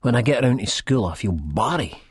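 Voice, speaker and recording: An actor playing a rambling, good-hearted, drug-addled man from Leith with a husky Edinburgh accent, telling his story in an audiobook. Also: mid-range voice, sing-song, moderate pace, no echo, clean studio sound. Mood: wistful, rambling. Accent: Edinburgh Scottish. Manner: colloquial, rambling, peppered with "man". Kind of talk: first-person audiobook narration, acted in character.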